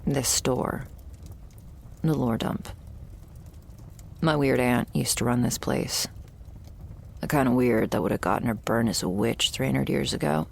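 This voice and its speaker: A woman playing a wry, guarded young thrall in a vampire ASMR roleplay, gravelly and often slipping toward a whisper, with a dry, hesitant way of musing. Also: very deep voice, unhurried, pauses often, exaggerated voice. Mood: reluctant, dry.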